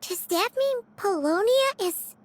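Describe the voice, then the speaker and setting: A woman voicing a tiny, excitable floating girl companion in a video game, asking eager, high questions. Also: a little slow. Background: game soundtrack music.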